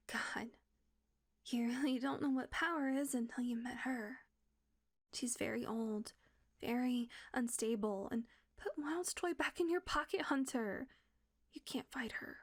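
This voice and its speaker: Woman doing a vampire lady, kinda high voice, whispery and tense, swears a lot. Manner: shifts from hushed whispers to cursing outbursts. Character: tense female vampire guarding a hunter. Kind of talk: audio roleplay acting.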